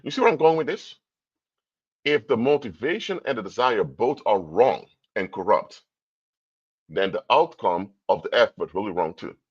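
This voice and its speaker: A man's voice: fairly low pitch, animated, normal speed, slightly rough, lo-fi sound. A man from Curaçao, giving a livestream talk in a lecturing tone full of rhetorical questions. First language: Papiamento. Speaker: commentator. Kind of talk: livestream commentary.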